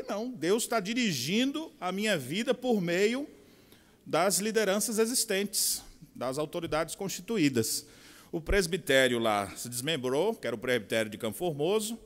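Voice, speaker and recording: A middle-aged man with a Bahian Portuguese accent, preaching a sermon with expressive swings from quiet asides to loud, slow emphasis. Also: a little high. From Brazil. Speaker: pastor. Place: large conference hall. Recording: long hall reverb.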